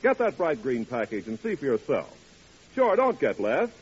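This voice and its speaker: Animated male 1940s radio announcer. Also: mid-range voice, normal speed. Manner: polished, emphatic salesmanship. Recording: muffled, hissy 1940s radio recording.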